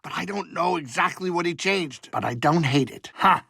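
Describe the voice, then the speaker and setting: A man voicing a grumpy, fretful but soft-hearted older alien pilot and cook in a video game, with a colloquial, fussy, rambling delivery. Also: a little high, normal speed, gravelly, husky, dry recording, studio quality, exaggerated voice. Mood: grumbling, fussy. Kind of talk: video game character dialogue.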